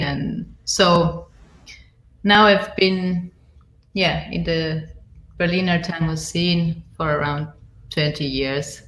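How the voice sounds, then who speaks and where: A woman from Argentina, introducing herself as a panel guest in an unhurried way, with fillers. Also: fairly low pitch, fairly bright tone. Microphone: video call. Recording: echoey room on a video call.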